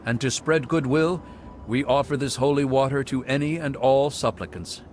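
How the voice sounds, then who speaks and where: A man's voice: mid-range voice, moderate pace, a little hiss or hum, lightly acted. A man playing a pious cult monk. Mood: serene. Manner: soft, pious, sermonizing. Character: serene zealous male cult monk. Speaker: game character. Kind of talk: video game dialogue.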